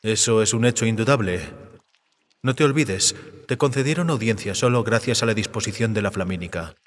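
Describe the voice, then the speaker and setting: A man voicing an urbane, cautious, learned male vampire in an audiobook drama, measured, erudite and resigned. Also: on the low side, close to an everyday voice. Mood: measured, sceptical. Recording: cave-like reverb.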